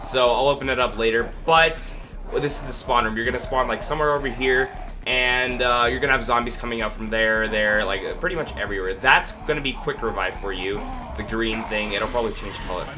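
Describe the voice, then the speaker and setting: A man's voice: medium pitch, brisk. A man with a cold, congested and sniffling, hosting a casual gameplay walkthrough in a rambling, hesitant way full of fillers. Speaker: gaming video host. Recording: clear background noise.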